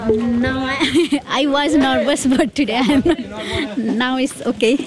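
Young female student, Nepali accent, fairly high voice, interview setting with clear crowd chatter. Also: takes it slowly. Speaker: student researcher being interviewed. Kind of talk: casual interview answers.

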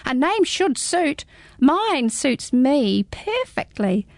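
A woman voicing a small, talkative, cheeky hedgehog in a storybook radio reading, with a high squeaky voice. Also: very animated, slow, darkish tone, no room sound, full character voice. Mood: chatty. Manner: fussy, talkative.

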